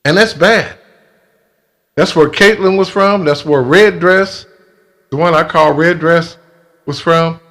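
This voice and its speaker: A man speaking in a casual monologue, with a halting, blunt and emphatic way of talking. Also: on the high side, a bit bright. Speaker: video creator telling a personal grievance.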